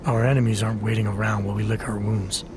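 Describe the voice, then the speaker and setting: An actor playing a stoic, brooding augmented agent in a video game, gravelly, terse and deadpan. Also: male voice, fairly low pitch, quick pace, background noise, close to an everyday voice. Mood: dry, resolute. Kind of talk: video game cutscene acting. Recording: loud music behind the voice.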